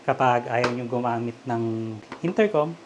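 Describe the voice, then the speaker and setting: A Filipino man with a mid-pitched voice walks through a hands-on task step by step. He talks informally, in short casual remarks as he works, with clear ambient noise around him. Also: a little slow. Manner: informal, talking along while working with his hands. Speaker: vlogger giving a DIY demonstration. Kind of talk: casual step-by-step tutorial talk.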